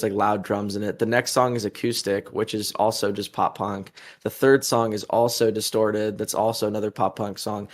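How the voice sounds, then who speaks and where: A man in his twenties, a musician answering an interview in a rambling, heated way, full of fillers and crude insults. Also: lowish voice. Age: 20s.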